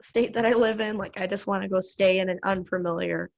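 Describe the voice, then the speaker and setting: Chatty American woman with gravelly fry. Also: medium pitch, fairly even intonation, fairly quick, highs cut off. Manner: chatty, rambling, laughs often. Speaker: podcast host. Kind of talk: casual chat.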